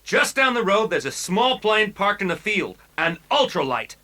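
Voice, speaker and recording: An actor playing an anxious, excitable young male scientist sidekick in a children's audio story, frantic and exclamatory. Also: high voice, normal speed, heavily acted. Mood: eager. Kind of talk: dramatized children's read-along audio story.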